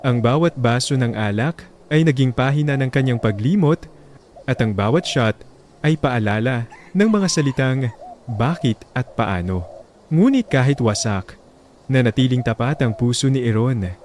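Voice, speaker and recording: A man with a Filipino accent reading a scripted dramatic love story as narrator, in solemn, measured phrases. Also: medium pitch, a little mellow, slight background noise.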